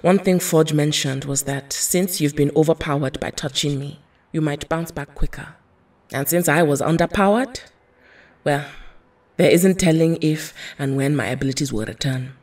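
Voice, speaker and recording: An actress playing a dignified, gentle female superhero in an audio drama, speaking in measured, formal, earnest phrases. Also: sits low, distant mic. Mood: gentle, resigned.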